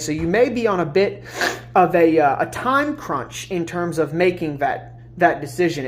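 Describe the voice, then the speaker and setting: A congested woman, a little under the weather, giving a casual collective tarot reading, chatty with many fillers and restarts. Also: low-pitched. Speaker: tarot reader.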